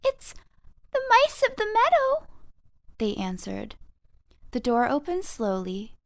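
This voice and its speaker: A woman narrating a scripted children's audiobook with expressive bedtime storytelling. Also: fairly high pitch, no echo, clean studio sound.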